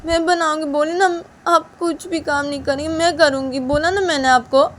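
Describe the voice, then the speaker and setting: A woman's voice: high-pitched, fairly even intonation, normal speed, a little hiss or hum, voice pushed up, natural voice. A woman voicing an angry young daughter-in-law who scolds and repeats her demands. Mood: furious.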